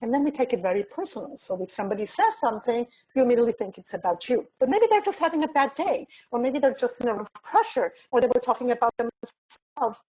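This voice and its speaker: A woman with a Mexican accent, a native Spanish speaker with a husky voice, speaking as a personal development coach in a motivational talk, addressing listeners directly. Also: on the high side, expressive, fast talker, warm tone. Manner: direct address with rhetorical questions. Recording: dull, slightly muffled lo-fi sound.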